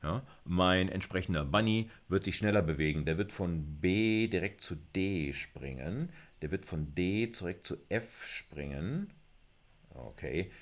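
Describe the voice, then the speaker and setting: Deep-voiced middle-aged male lecturer. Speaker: university computer science lecturer. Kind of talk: informal recorded lecture, thinking aloud while explaining.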